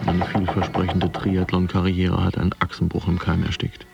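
Deep, creaky, dogged company worker. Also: male voice, monotone, moderate pace. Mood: rueful. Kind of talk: radio drama monologue. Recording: dull sound with faint music behind.

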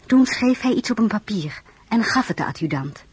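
An actress playing a reflective young wife and mother, narrating her life in a measured, intimate way in a radio drama. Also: mid-range voice, expressive, breathy edge.

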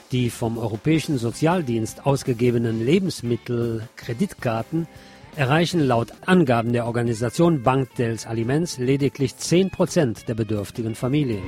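A man's voice: mid-range voice, darkish tone. A man hosting a radio news programme, reading reports and adding his own commentary. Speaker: radio host.

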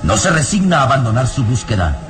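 A man narrating an adventure radio serial in a solemn, deliberate, dramatic manner. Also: medium pitch, sing-song, noisy background, natural voice. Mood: solemn suspense. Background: prominent music.

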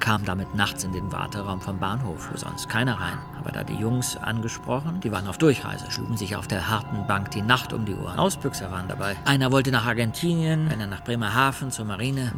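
An actor playing a boastful serial killer in a radio drama, talking in clipped, colloquial sentences with a creaky voice. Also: male voice, medium pitch. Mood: boastful, casual. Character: boastful, chillingly casual male serial killer.

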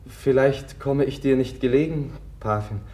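An actor in a 1950s radio play voicing a gentle, earnest young prince who asks sincere questions. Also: male voice, medium pitch, a little slow, lightly acted. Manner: soft, sincere, searching questions. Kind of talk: 1950s radio drama acting. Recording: old radio play, husky tone.

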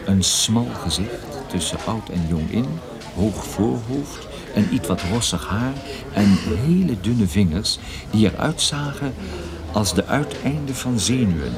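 A man acting as a wry, world-weary private detective narrating a radio drama in a dry, measured, husky way. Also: on the low side, animated, a crowd in the background. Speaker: narrator and lead actor in a radio play.

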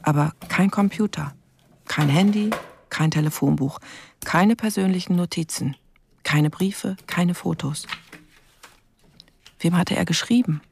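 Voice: medium pitch, measured pace, creaky, pauses often, slight background noise. An actor playing a terse investigator in a radio crime drama, listing evidence in clipped phrases and musing aloud in questions. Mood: sober, pondering. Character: terse, probing investigator going through a dead man's things. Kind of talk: radio crime drama, first-person narration.

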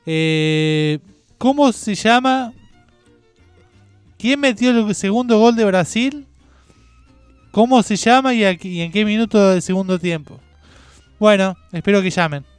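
High, clear-voiced man on radio. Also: measured pace, pauses often, dry recording, faint music behind. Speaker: radio contributor and author. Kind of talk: informal quiz announcement.

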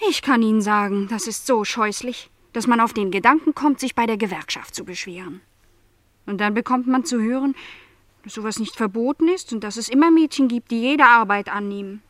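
Actress doing young maid in a radio play, lonely n stubborn, bitter but measured, really dry sound. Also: female voice, fairly high pitch, dry recording, natural voice. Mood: bitter, insistent. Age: young adult.

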